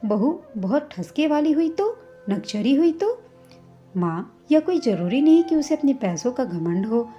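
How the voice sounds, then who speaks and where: A woman's voice: sits high, sing-song, unhurried, mellow, dull audio, faint music behind, voice pushed up. A woman voicing an easygoing young adult son in a told story, breezy and persuasive as he reasons. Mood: reassuring, arguing.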